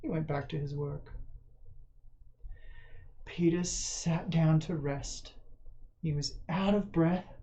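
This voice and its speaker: A woman reading a children's story aloud as scripted narration, deliberately, with dramatic emphasis on key words. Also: on the low side, mellow. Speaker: storybook reader.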